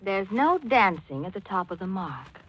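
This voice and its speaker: An actress playing a detective's brisk, teasing secretary in a 1940s radio drama. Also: female voice, medium pitch, big pitch swings. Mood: pert, teasing. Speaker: supporting actress. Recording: dull vintage radio recording.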